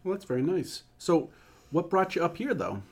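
A man's voice: a little high, very animated, moderate pace, husky. A man, a school superintendent, hosting a podcast and asking questions in a friendly, slightly hesitant way.

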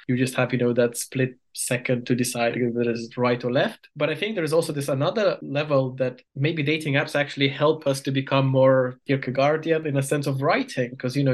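Reflective man, mid-range voice. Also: mid-range voice. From Estonia. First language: Estonian. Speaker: guest researcher. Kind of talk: casual intellectual discussion.

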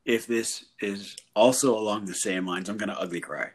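A man's voice: lowish voice, normal speed, slightly rough. A man co-hosting a movie review podcast, enthusiastic and profane in casual chat. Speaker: podcast co-host.

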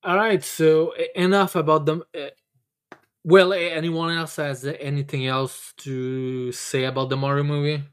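Halting, stammering man chatting casually. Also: a little high, flat delivery, smooth. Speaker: podcast host. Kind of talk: casual chat.

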